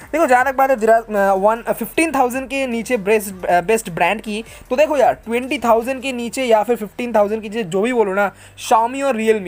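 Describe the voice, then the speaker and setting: Indian tech host answering viewer questions casually. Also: medium pitch. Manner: conversational, explanatory, addresses listeners as bro and friends; mixes English tech terms into speech.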